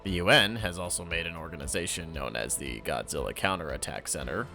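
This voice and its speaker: A man's voice: low-pitched, fairly quick, vocal fry, sharp tone, faint music behind. A man narrating a scripted recap and review with wry asides. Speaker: guest co-commentator.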